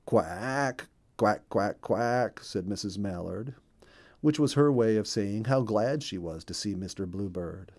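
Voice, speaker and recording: A man reading a children's story as storyteller, expressive and placing deliberate emphasis on key words. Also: on the low side.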